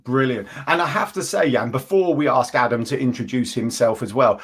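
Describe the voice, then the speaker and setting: Male live-stream host, bubbly and enthusiastic, stumbles over his sentence starts, mid-pitched voice. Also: a little fast, fairly bright tone, breathy edge.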